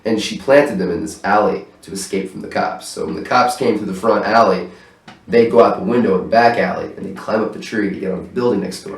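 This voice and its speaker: A man talking loosely in a casual interview, swearing freely and laughing often, in his own apartment room. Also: on the low side. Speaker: stage manager interviewed as guest. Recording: roomy echo, voice far from mic.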